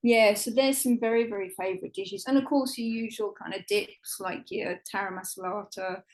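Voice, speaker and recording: Woman, interview guest, chatty, enthusiastic, mid-pitched. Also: normal speed, rough recording. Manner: chatty and enthusiastic, many "um" fillers. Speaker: travel guidebook writer, guest. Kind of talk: conversational podcast interview.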